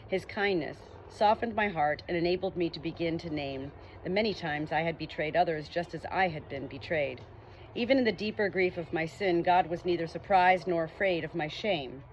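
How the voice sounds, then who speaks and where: A woman's voice: fairly low pitch, a little hiss or hum, poor audio. A woman reading a nonfiction book aloud as an audiobook. She reads earnestly and lifts her voice on the questions. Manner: earnest, rising emphasis on questions. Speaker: audiobook reader.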